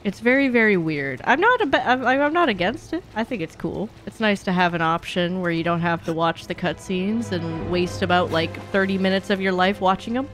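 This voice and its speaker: A woman's voice: mid-range voice, lively intonation, brisk, hardly any pauses, soft background music. A woman streamer giving casual, playful live gameplay commentary, chatting along and answering her viewers. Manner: chatty, playful, reading and answering chat aloud.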